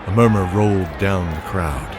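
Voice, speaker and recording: Deep-voiced man narrating a fantasy tale. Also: moderate pace, background noise. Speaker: narrator and game master. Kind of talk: scripted narration.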